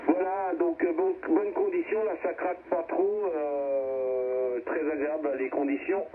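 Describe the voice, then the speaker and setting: A man's voice: a little high, steady tone, measured pace. Man hosting over thin, noisy radio. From France. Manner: rambling, many verbal tags. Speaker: amateur radio net host. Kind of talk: casual radio chat. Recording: narrowband shortwave radio, clear static noise.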